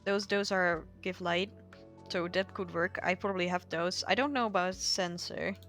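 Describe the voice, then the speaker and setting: A woman's voice: fairly low pitch, fairly even intonation, moderate pace, fairly bright tone. A woman giving casual playthrough commentary as a game streamer. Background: game music.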